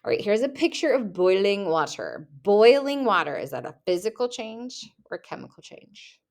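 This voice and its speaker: A woman's voice: mid-range voice, sing-song, normal speed. Woman, teacher, young adult, reads questions aloud, rephrases with small jokes, screen-recording mic. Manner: reads each question, then rephrases it playfully. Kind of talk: reading a test aloud to students.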